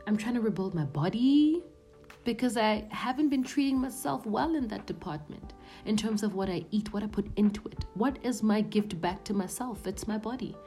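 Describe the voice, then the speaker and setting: A woman's voice: medium pitch, lively intonation, normal speed, mellow, faint music behind. A woman in her thirties hosting a podcast, speaking in an earnest, reflective monologue that often sinks to a near-whisper. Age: 30s. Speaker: podcast host.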